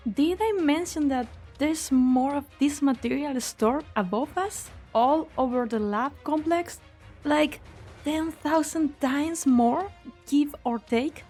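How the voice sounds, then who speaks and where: Casting: actress as young, loyal researcher mage, game fan dub, high voice, expressive and wry. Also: female voice, slow, soft background music. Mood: urgent explaining. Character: spirited, loyal young female researcher and spellcaster. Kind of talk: amateur fan dub game drama acting.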